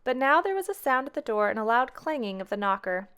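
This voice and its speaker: A woman storyteller reading a children's tale aloud with expressive narration. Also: fairly high pitch, quick pace, smooth.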